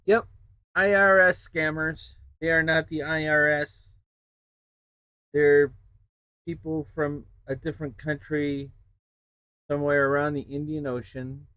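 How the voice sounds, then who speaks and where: An unhurried male game streamer from the United States, chatting with viewers in a loose, digressive way. His voice sits at an ordinary pitch and sounds close and dry, with no room around it. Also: steady tone, sharp tone, smooth, dry recording. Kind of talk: casual rambling chat while streaming a game.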